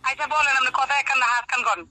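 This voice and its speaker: A woman's voice: high-pitched, fairly even intonation, racing, sharp tone. A woman with a regional Bangladeshi accent in a casual phone conversation, questioning and plain-spoken. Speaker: call recipient. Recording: phone call with music behind.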